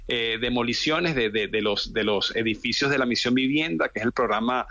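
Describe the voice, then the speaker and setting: A man reports live as a correspondent, stumbling over repeated words and fillers. His voice is bright and forward, sitting at an average pitch, with a dry, close sound. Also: moderate pace, no echo, highs cut off.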